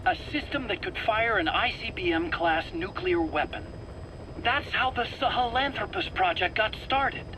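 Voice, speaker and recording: A man's voice: sits high, expressive, moderate pace. A man playing an anxious, defensive weapons scientist, hesitant and stammering, in a video game drama. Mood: explaining eagerly. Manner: hesitant, stammering, pleading and self-justifying. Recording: clear background noise, interrogation tape.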